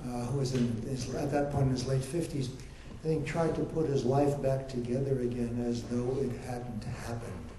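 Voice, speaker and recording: An older man on a survivor panel, giving personal testimony in a soft-spoken, reflective and hesitant way. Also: medium pitch, steady tone, moderate pace, hardly any pauses, slight background noise. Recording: echoey lecture hall.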